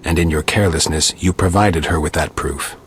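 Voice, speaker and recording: A man playing a gruff, stoic monster hunter in a video game, speaking tersely and deadpan in a gravelly voice. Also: very deep voice, fairly quick, a little hiss or hum. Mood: blunt, questioning. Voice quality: gravelly, husky. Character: gruff, stoic male monster-hunting witcher. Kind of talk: video game dialogue acting.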